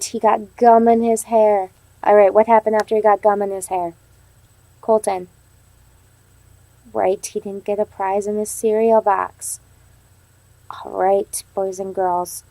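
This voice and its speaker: A woman reading aloud to a class as a teacher, pausing to ask questions and affirm answers. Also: medium pitch, warm tone, dry recording, rough recording. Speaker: elementary teacher practicing a read-aloud lesson.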